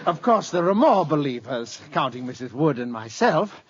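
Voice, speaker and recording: A man's voice: fairly high pitch, big pitch swings, moderate pace. An actor playing a jovial, boastful, drily joking neighbor and father in a 1940s radio comedy. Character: jolly, chatty neighbor, harried father of many. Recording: muffled, hissy old radio show.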